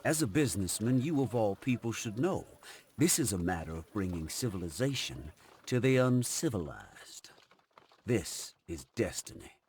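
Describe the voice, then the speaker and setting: An actor playing a haughty, touchy American cavalry officer in a game cutscene, pompous and commanding. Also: male voice, lowish voice, very animated, normal speed, a little mellow, a little hiss or hum. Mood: imperious. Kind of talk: video game cutscene acting.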